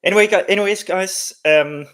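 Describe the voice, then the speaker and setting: A man's voice: sits high, a little fast, a bit bright. Man rambling on a live stream. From Denmark. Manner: rambling, many fillers and restarts. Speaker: livestream host, developer. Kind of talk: casual live coding stream.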